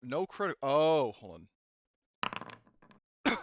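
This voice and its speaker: A man's voice: a little high, big pitch swings, extremely slow, no room sound, muffled recording. A man running a tabletop game as game master, rambling and restarting, with lots of fillers.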